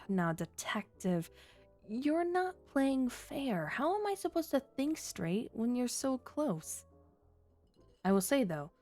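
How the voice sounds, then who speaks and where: A woman's voice: medium pitch, a little mellow, natural voice. A woman playing a flirty, taunting underworld rogue in an ASMR audio roleplay, with a teasing, sardonic drawl. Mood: teasing, seductive. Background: music.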